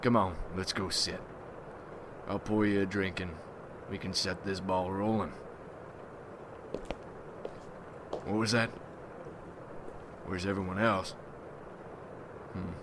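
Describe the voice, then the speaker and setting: A man playing a gruff, ruthless, wary vampire hunter in an ASMR roleplay, with a folksy, brooding, rough-spoken manner. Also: low voice, flat delivery, measured pace, long pauses. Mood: matter-of-fact. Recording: noisy ambience behind the voice.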